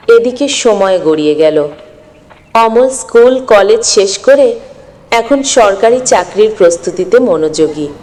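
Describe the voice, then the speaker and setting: A woman's voice: mid-range voice, darkish tone. A woman narrating a scripted moral story as the storyteller. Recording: long echoing reverb.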